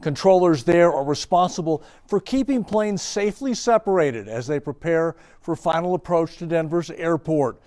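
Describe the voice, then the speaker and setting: A man's voice: fairly high pitch, lively intonation, moderate pace, few pauses, darkish tone, no room sound, clean studio sound. An American man working as an investigative reporter, delivering scripted broadcast news in emphatic, clipped phrases. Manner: emphatic, clipped sentence fragments.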